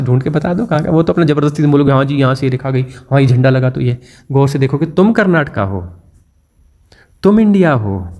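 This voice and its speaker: An Indian man delivers a spiritual discourse in a fairly high voice. He circles his point with rhetorical questions and repeats short phrases over and over, like a chant. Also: darkish tone. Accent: Hindi-speaking Indian. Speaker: spiritual teacher. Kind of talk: satsang discourse.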